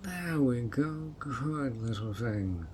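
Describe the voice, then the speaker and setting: A man's voice: medium pitch, lively intonation, slow pace, darkish tone, slight background noise. A man voicing a handsome, obsessive male fairy who coaxes and dotes in a possessive way, in an audio roleplay. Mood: controlling. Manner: coaxing and doting, turning controlling.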